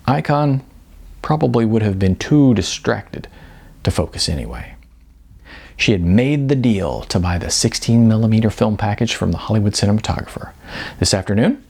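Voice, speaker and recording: A man reads from his own novel with unhurried, expressive delivery. His low voice has a gravelly edge, and his pitch rises and falls in animated swings. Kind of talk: audiobook-style novel reading.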